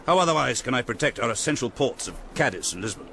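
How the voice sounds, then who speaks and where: Brusque, sardonic, animated British general. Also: male voice, medium pitch, quick pace, creaky. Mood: sardonic, commanding. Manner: curt, sardonic, commanding. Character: brusque, dry, aristocratic British commander-in-chief. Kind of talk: historical radio drama acting. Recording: noisy outdoor battlefield sound effects.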